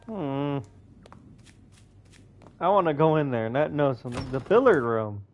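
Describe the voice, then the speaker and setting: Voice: medium pitch, expressive, a little slow, slight background noise. A game player giving casual, slangy, laid-back playthrough commentary on a lo-fi mic. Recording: dull lo-fi mic, faint music.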